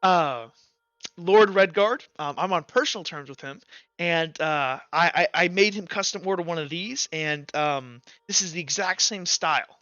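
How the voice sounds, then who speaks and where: Man playing an eager, flustered woman weaponsmith, bright voice. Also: on the high side, moderate pace, no room sound, muffled recording. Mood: eager, proud. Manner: flustered, hesitant salesmanship. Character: eager, boastful woman weaponsmith selling her wares.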